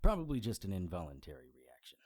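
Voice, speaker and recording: A wry male investigator tells his case like a noir audio drama. His voice is low and dark, recorded dry, with a deadpan delivery that stays unimpressed by the strange. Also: quick pace, no echo, exaggerated voice. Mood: grim, wry. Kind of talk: first-person detective audio drama narration.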